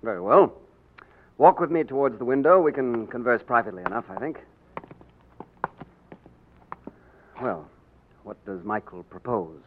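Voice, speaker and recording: An actor playing a bold, wry young English gentleman posing as king in a 1940s radio drama. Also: mid-range voice, a little fast, a little hiss or hum, natural voice. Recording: tinny 1940s radio broadcast.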